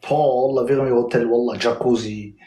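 Fast-talking young man peppering "Wallah". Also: mid-range voice, steady tone, mellow, roomy sound. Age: 20s. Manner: street slang, repeats "Wallah" constantly. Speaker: livestream guest. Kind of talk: casual livestream banter.

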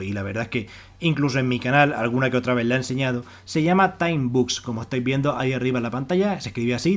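A man with an accent from Spain giving a casual, wordy screen-share walkthrough as an online presenter, often repeating himself to explain. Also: medium pitch. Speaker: YouTube presenter of money-making websites. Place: voice-over on a screen recording.

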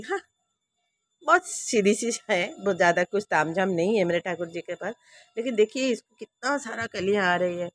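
Lady in her sixties filming her rooftop garden on her phone, chatty, talks right to you, highish voice. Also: no room sound, studio quality. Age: 60s. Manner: chatty, homely, speaks directly to viewers. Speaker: vlogger. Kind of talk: casual rooftop garden tour. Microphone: handheld phone camera.

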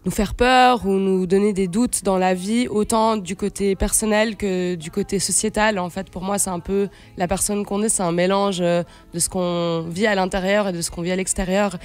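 A woman's voice: medium pitch, fairly even intonation, normal speed, few pauses. Woman, casual and hesitant. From Switzerland, then Brazil as a teenager. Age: late 20s. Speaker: guest, singer-songwriter. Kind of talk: casual radio interview chat. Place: radio studio. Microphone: studio microphone on a stand, close.

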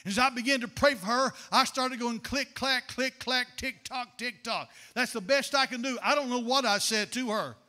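An older man preaches from the pulpit, his voice very high and piercingly bright. He speaks fervently into a handheld microphone in a church sanctuary, warmly calling his listeners "honey" and building to shouted, rhythmic declarations. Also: normal speed, hardly any pauses. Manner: addresses congregation as honey. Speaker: pastor. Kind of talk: sermon.

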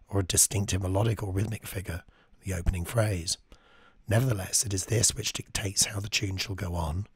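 Hoarse male teacher, deep voice, lost and husky, close-miked and dry, thoughtful and full of ums. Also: fairly bright tone, no echo. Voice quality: breathy, half-whispered. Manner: thoughtful, with frequent ums while reading quotes. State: lost voice, very hoarse from chest infection. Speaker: composer and music teacher. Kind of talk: screen-share music lesson. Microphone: very close to the microphone.

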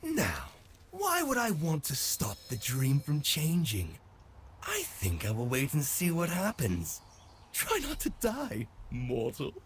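An aloof female immortal in a fantasy game. She talks low and slowly, with a haughty, teasing delivery that swings widely in pitch. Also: wildly swooping pitch, a little hiss or hum, full character voice. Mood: coolly taunting. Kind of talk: video game character acting.

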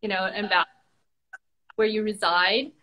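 Bright-voiced woman hosting, hesitant. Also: mid-range voice, fairly even intonation, normal speed, low-quality recording. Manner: hesitant, many fillers. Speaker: host. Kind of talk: casual livestream interview. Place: home room, indoors. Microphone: video call.

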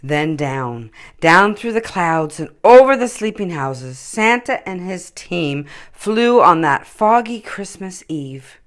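A woman reads to children as a story time host. Her voice sits a little low and moves expressively up and down, with slow, deliberate pacing. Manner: deliberate, animated.

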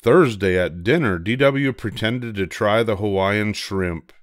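An older man reads a children's book aloud. His voice is on the low side and expressive, captured with very little room sound. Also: measured pace, dry recording, studio quality. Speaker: storybook reader.

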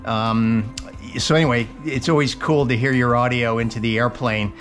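Guy on a headset in a small plane, chatty n excited ham radio talk, says over a lot. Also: mid-range voice, moderate pace, faint music behind. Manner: relaxed, enthusiastic, full of fillers, ends turns with "over". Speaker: private pilot and ham radio operator. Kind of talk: casual radio chat. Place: small plane cockpit, then home radio room.

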